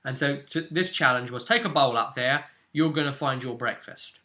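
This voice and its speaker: Very bright-voiced man recapping a tabletop game. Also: mid-range voice, moderate pace. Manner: explanatory, loosely phrased with asides. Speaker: host and game master. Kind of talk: casual tabletop role-play recap.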